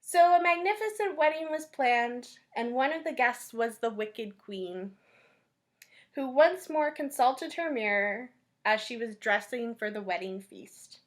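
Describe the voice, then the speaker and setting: A woman storyteller reading a children's fairytale aloud, with deliberate phrasing and frequent pauses. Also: a little high, animated. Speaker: storyteller at a library.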